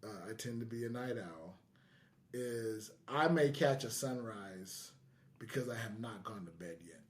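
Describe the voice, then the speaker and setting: A man's voice: on the low side, lively intonation, unhurried. A man hosting a podcast in casual chat, often repeating his phrases for emphasis. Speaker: podcast host.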